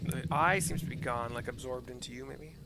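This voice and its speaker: A man's voice: mid-range voice, fairly quick, creaky, heavy background noise. A man narrating a video game playthrough in a casual, offhand way, describing scenes with muttered asides.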